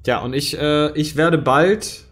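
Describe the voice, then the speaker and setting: Male streamer, 40s, from East Westphalia; casual, filler-heavy play-by-play over soft music. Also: fairly high pitch, slow. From East Westphalia, Germany. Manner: thinks aloud with many fillers. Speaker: streamer and co-host. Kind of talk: casual let's-play chat. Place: home room.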